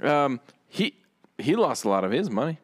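A man's voice: mid-range voice, big pitch swings, moderate pace. A man telling easygoing, self-mocking stories in casual podcast banter.

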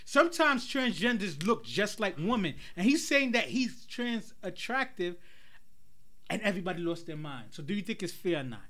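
Young guy hosting a podcast chat, high lively voice, bright tone. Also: moderate pace. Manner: animated, asks the panel questions. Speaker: podcast host. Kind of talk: casual group chat.

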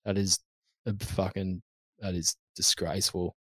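A man's voice: deep voice, little pitch movement, measured pace, fairly bright tone, no echo. A man, co-hosting a casual podcast chat, blunt and sweary. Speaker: podcast co-host.

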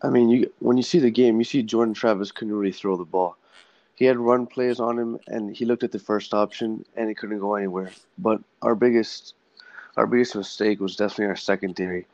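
A man's voice: lowish voice, steady tone, brisk, a little mellow, no echo, muffled recording. A young man as a guest on a student sports podcast, giving blunt, emphatic opinions. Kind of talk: casual sports podcast chat.

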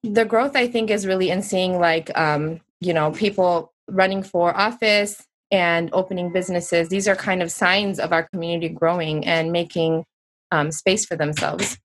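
A woman's voice: fairly low pitch, steady tone, normal speed. A woman in her thirties, a guest speaking in a chatty, reflective way with many fillers. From United States. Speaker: interview guest. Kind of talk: casual interview talk.

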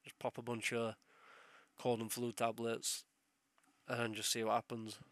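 A man's voice: mid-range voice, completely flat delivery, a little slow, a bit bright, no echo. A young man hosting a solo podcast while ill, with a sore throat and sniffles, rambling and swearing as he loses his train of thought. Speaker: podcast host.

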